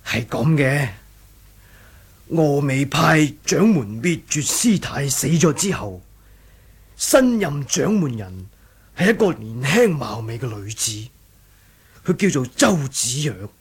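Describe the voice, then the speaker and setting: A man's voice: medium pitch, sing-song, slow, long pauses, slightly rough, full character voice. An actor playing an eloquent, deferential male sect elder and schemer in a Cantonese martial-arts radio drama. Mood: confiding, earnest. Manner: deferential, theatrical, persuasive.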